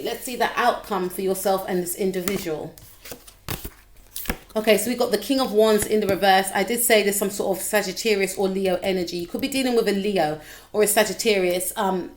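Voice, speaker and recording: Croaky-voiced woman doing a tarot reading. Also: mid-range voice. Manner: chatty, repetitive, addresses viewer directly. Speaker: tarot reader. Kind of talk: casual tarot reading to camera.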